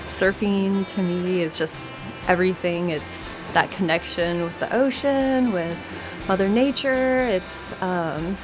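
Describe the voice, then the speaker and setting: A woman's voice: on the low side, slow pace, over music, poor audio. A woman from Oregon, a longtime surfer, speaking in an earnest, heartfelt way. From Oregon, USA. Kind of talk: reflective interview talk.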